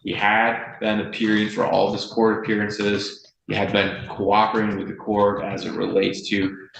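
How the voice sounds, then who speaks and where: Gravelly low-voiced American male judge. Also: monotone, a bit bright. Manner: deliberate, firm, measured phrasing with pauses. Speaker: judge presiding over a court hearing. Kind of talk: formal courtroom speech on the record. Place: indoor courtroom. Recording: roomy echo, faint noise, courtroom audio.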